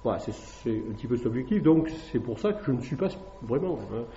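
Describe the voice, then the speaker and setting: Hesitant lecturing man, dark echoey hall. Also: medium pitch, animated, quick pace, a little hiss or hum. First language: French. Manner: hesitant, many fillers and tag questions. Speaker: classics teacher giving a talk. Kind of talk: public lecture to an evening audience. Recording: echoey dull room recording.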